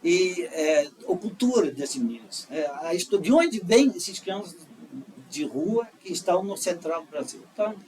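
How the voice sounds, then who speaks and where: Middle-aged Dutch-accented male guest, halting and searching for words, sits a little far from the mic. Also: high voice, animated, a little mellow. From Netherlands. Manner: halting, with frequent restarts and "é" fillers. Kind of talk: casual conversational storytelling. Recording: slightly distant mic with faint noise.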